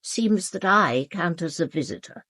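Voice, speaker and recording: An elderly upper-class English lady of the house in a radio drama. Her voice is low and husky, very close and dry. She speaks formally and with cool control, sharp-witted and cutting. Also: animated, a little slow, dry recording. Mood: cool, insistent. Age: older. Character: imperious, sharp-witted elderly aristocratic lady, bereaved mother. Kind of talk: British radio drama acting.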